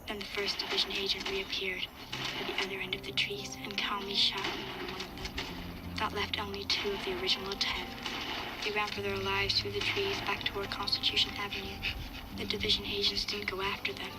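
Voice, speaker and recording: A woman's voice: medium pitch, monotone, a little fast, heavy background noise, close to an everyday voice. A woman playing a young girl survivor, narrating a dramatic first-person audio log in a sombre, watchful way. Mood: sombre, tense. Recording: loud ambient sound behind voice.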